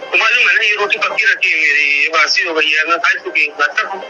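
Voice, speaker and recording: A man's voice: high voice, fairly quick. A man talking casually on a recorded phone conversation. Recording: muffled call recording under loud music. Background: loud music.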